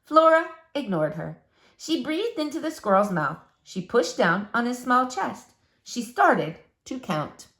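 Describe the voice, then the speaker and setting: A woman narrating a children's storybook aloud with expressive, dramatic, deliberate phrasing. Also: a little high. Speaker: storybook reader.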